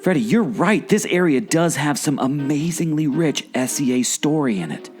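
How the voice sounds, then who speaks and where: An excitable, nervy adventurer sidekick acted by a man in a radio adventure play. His delivery is exclamatory and swings widely in pitch, with soft music underneath. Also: normal speed, natural voice. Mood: amazed. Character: excitable male adventurer sidekick, anxious and skeptical.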